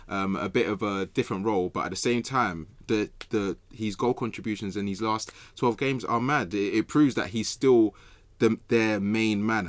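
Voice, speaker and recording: A man's voice: lowish voice, no room sound. A man, arguing his point in casual, colloquial podcast chat and checking that he is followed. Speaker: football podcast panelist.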